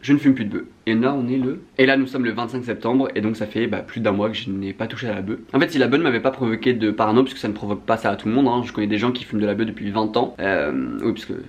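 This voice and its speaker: A young man from France speaks at a very quick clip in a slightly low voice. He has a French accent and talks as in a casual confessional vlog, frank and slangy, readily poking fun at himself. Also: dull audio. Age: young adult. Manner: candid, self-mocking, slangy. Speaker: vlogger.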